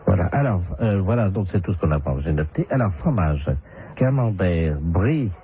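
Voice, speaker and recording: A man putting on an officious civil servant for a phone prank. The voice is low but moves up and down a lot, stretching items as it lists them with a straight face. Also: animated, phone-quality sound, a little hiss or hum. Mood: businesslike. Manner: officious, unhurried, reels off lists. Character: brisk fake transport ministry official turned snack-van order taker. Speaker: prank caller. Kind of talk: deadpan prank phone call.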